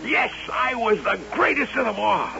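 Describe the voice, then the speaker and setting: An actor playing a desperate, obsessed puppeteer husband with theatrical intensity in an old-time radio drama. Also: male voice, extremely high pitch, animated, moderate pace, creaky, sharp tone, exaggerated voice. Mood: proud, grandiose. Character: desperate, obsessed carnival puppeteer husband in love with his doll. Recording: dull, hissy vintage radio recording.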